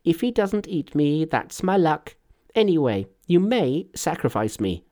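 A man acts out a cheeky monkey hero from a storybook, pitched well up and swooping with lively inflection. He is brash and bantering, fearless and very sure of himself. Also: unhurried. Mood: bold. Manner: brash, bantering, self-assured. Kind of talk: storybook character voice.

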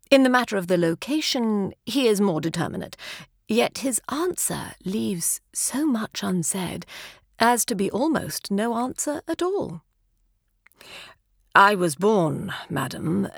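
A woman's voice: medium pitch, gravelly, husky, no echo, studio quality. A woman with an English accent narrating a literary story in an arch, formal, theatrical storyteller's manner. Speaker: audiobook narrator.

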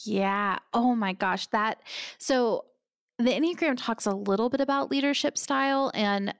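A woman's voice: on the high side, measured pace, clean tone, dry recording, studio quality. A woman hosting a podcast, chatty and enthusiastic as she interviews a guest. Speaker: podcast host.

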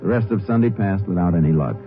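An actor playing a steady, businesslike Texas Ranger with an American Western accent, speaking laconically in a radio western. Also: male voice, deep voice, fast talker, lightly acted. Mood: brisk, determined. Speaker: lead actor and narrator. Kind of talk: 1950s radio western acting. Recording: muffled old radio, music behind.

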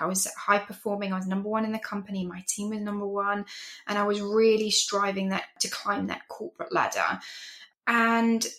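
A woman's voice: mid-range voice, fairly bright tone. A woman in her forties from Zimbabwe, a business and life coach telling her story as a guest in an earnest, reflective way. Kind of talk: interview storytelling.

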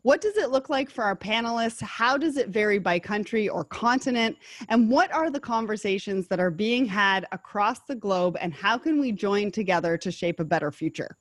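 A woman hosting a podcast panel, reading sponsor messages and then moderating in a clear, upbeat way. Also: medium pitch, expressive, moderate pace, hardly any pauses, dry recording. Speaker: podcast host.

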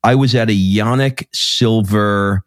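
A man's voice: lowish voice, takes it slowly, no echo, studio quality. A man from Queens, New York, hosting a podcast interview in an enthusiastic, chatty way. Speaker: podcast host. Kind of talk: casual interview chat.

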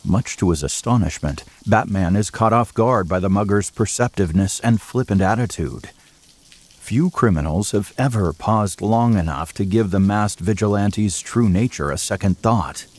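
Low-voiced male dramatic narrator. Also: darkish tone. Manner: measured, literary, serious.

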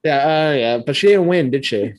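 A man's voice: fairly high pitch, lively intonation, normal speed. A man co-hosting a podcast in casual group banter, repeating phrases for emphasis. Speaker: podcast co-host.

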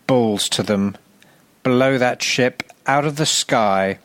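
A man voicing an imperious, petulant male television producer who gives dismissive orders with slow, emphatic phrasing. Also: mid-range voice, fairly bright tone, dry recording. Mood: indignant. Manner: emphatic, drawn-out, dismissive commands.

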